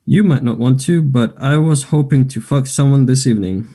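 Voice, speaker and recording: A cocky, dismissive young man, performed by a man in a play reading. His voice is dark and relaxed at a middle pitch. The delivery is blunt and sardonic, in short clipped replies. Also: moderate pace, husky, natural voice. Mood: scornful, dismissive. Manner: curt, sardonic. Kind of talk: play table read.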